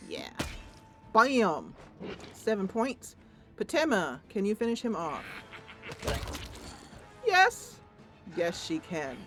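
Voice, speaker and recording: A woman hosting a gameplay channel, chatting casually and excitably as she comments on the game. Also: mid-range voice, slow pace, long pauses, music in the background.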